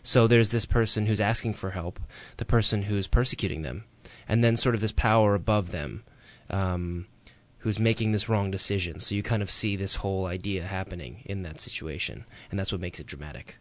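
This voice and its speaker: A man co-hosting a talk radio show, explaining things calmly with fillers. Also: low voice, brisk, hardly any pauses, no echo. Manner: reads a listener letter aloud, explains calmly with fillers. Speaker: radio co-host.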